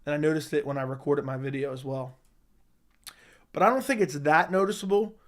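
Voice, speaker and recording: A slightly hoarse young man hosting a casual live chat, answering viewers with blunt opinions. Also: fairly high pitch, brisk. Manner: opinionated, talks straight to chat. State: slightly hoarse, losing his voice. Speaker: livestream host answering chat.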